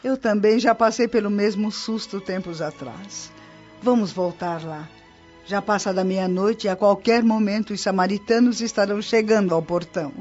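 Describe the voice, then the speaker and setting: A woman's voice: medium pitch, moderate pace, a little mellow, lightly acted. Woman playing kindly old Brazilian nurse, muffled sound. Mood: reassuring. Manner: reassuring, explanatory. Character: kindly, knowing old nurse in the spirit world. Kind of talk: radio drama acting. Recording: muffled audio with music behind. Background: background music.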